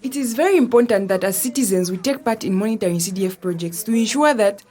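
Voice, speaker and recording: A woman with a Zambian accent playing a bright, respectful young woman who patiently explains things in an educational audio drama. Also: mid-range voice, fast, natural voice. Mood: friendly, earnest.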